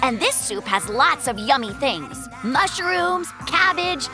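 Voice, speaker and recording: Earnest, very high-pitched cartoon polar bear cub. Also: sing-song, takes it slowly, over music, heavily acted. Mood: cheerful, proud. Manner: earnest, instructive. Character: earnest, gentle young polar bear cub, proud traditional cook. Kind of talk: animated children's cartoon acting.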